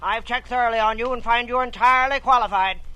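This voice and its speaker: A man's voice: extremely high pitch, steady tone, quick pace, pushed and bright, clear, resonant tone, exaggerated voice, rough recording. An actor playing a bitter, sickly rich old man with a weak heart, who talks in a curt, disdainful, imperious way, in 1940s radio drama style.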